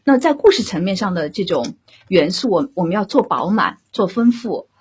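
A woman speaking as a drama director and guest, explaining her creative views in a measured, deliberate and emphatic way. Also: mid-range voice, sing-song, breathy edge. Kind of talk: reflective discussion of creative work.

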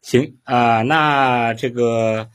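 A man's voice: mid-range voice, fairly even intonation, extremely slow. A man hosting a casual livestream interview, speaking in a hesitant, repetitive way. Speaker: livestream host.

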